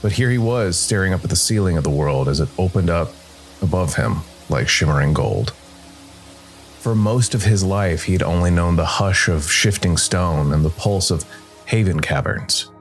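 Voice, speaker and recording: A man hosting and narrating a scripted story podcast in a measured, deliberate storytelling manner. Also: low-pitched, expressive, soft background music, poor audio.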